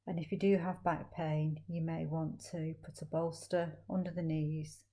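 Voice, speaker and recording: A woman leading a guided meditation as a teacher, speaking slowly and gently with long, soothing pauses. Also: low-pitched, flat delivery.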